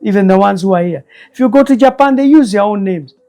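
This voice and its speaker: Slow-spoken older man, mellow voice. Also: sits high, animated. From Kenya. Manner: slow, measured, deliberate. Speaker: elder guest storyteller. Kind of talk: reflective storytelling interview.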